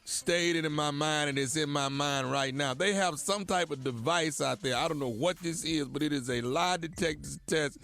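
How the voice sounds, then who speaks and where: A man's voice: on the high side, moderate pace, hardly any pauses, fairly bright tone. A man co-hosting a radio show in casual group banter, talking in a melodramatic, playfully exaggerated way.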